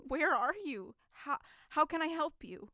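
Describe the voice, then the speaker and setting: A high-voiced, bright woman investigator held captive as an actress plays her in an audio drama. She is intense and defiant, her words edged with desperation, recorded close and dry. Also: animated, no echo, full character voice. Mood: shaken. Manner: intense, emotional, halting.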